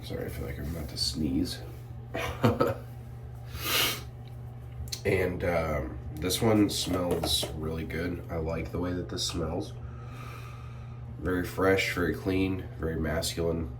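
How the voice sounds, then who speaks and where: Deep-voiced man, sniffly, off-mic. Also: slow pace, long pauses, recorded from a distance, over music. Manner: relaxed, rambling with many fillers and throat clearing. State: sniffly from irritated sinuses. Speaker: shave product reviewer. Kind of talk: casual product review vlog.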